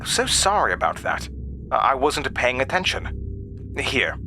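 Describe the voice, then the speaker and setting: A man voicing a polite, mysterious tall stranger with a soft, courteous manner. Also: medium pitch, wildly swooping pitch, slow pace, a little hiss or hum, voice pushed up, full character voice. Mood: smooth, knowing.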